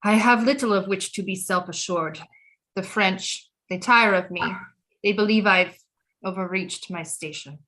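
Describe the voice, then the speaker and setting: A woman's voice: medium pitch, measured pace, sharp tone, low-quality recording. An actress playing a proud, brooding queen mother in an audio play, resentful of her critics, with a regal, formal and dramatic delivery. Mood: brooding, unsure.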